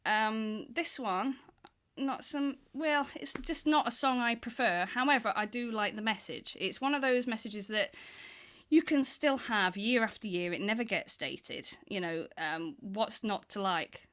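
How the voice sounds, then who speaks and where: Bright-toned woman, bubbly panelist, chatty. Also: a little high, measured pace. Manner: bubbly, apologetic, laughing. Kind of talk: casual panel chat.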